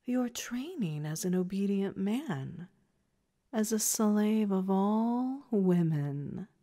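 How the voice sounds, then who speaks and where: A woman's voice: medium pitch, lively intonation, slow pace, mellow. A woman playing a domineering, condescending woman who commands and taunts in an audio story. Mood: commanding. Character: domineering, condescending woman running a training program. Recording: reverberant hall-like echo.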